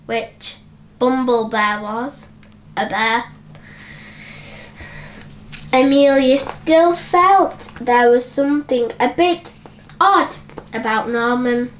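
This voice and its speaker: High-pitched, very slow woman storyteller. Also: slight background noise. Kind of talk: children's bedtime story narration.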